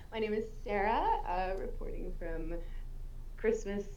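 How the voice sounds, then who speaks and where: A woman's voice: mid-range voice, expressive, a little slow, a little mellow, highs cut off. A woman guest chatting casually in a tongue-in-cheek, wordy and hesitant way. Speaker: voice actor guest. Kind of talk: casual chat. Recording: noisy mic with room ambience.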